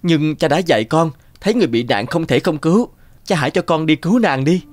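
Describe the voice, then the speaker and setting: A man's voice: on the high side, animated, fast talker, lightly acted. A man voicing a brave, kind-hearted young prince in a fairy-tale cartoon, earnest and polite. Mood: pleading. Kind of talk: animated fairy-tale dubbing.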